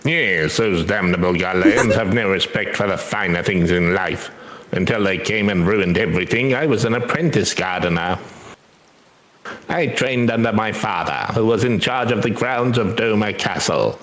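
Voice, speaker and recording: A man's voice: lowish voice, moderate pace, fairly bright tone, natural voice. A man voicing an earnest, grieving gardener in a game read-aloud, solemn, formal and reverent. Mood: wistful, bitter. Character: earnest, grieving Doman gardener, devoted to his lord. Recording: roomy echo, music behind.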